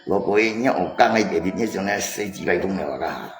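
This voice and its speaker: A man's voice: sits low, brisk. A man chatting casually and insistently on a live stream, often repeating himself. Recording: echoey room, music mixed in. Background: music.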